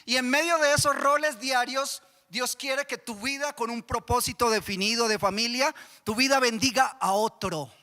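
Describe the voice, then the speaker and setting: A man's voice: extremely high pitch, moderate pace, bright, forceful tone. A man with a Colombian accent preaching a sermon as pastor, often asking the congregation questions. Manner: asks the congregation repeated questions. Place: church hall. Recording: church hall reverb.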